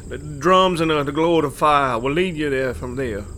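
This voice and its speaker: Radio drama squatter: rustic Southern, fairly high voice, frightened and beseeching, faint night noise behind. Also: male voice, normal speed, sharp tone. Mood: anxious, pleading. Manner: pleading, rustic. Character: frightened, pleading male swamp squatter, humble and earnest.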